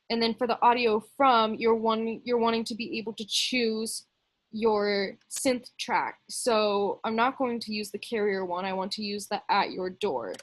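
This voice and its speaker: A woman teaching music production in a casual, informal way, explaining step by step and thinking aloud as she demonstrates. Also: a little high. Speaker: music production tutorial instructor.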